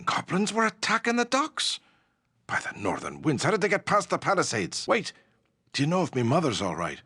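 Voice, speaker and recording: An actor playing a gruff, wry but good-hearted ship's captain in a fantasy video game, with salty, colloquial seafaring speech. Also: male voice, fairly low pitch, pushed and bright, dry recording, full character voice. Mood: worried.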